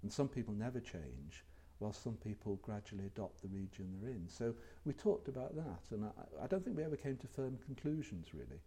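Older male ex-lecturer, deep husky voice, thoughtful and halting. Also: very animated, dark tone. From England. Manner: hesitant, reflective, many ums. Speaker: retired lecturer being interviewed. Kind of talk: oral history interview.

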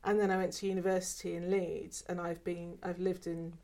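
A woman's voice: fairly low pitch, little pitch movement, fairly quick, mellow. A woman in her fifties with a mixed, mostly southern English accent, talking hesitantly in an oral history interview, often repeating words. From England. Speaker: former archive worker.

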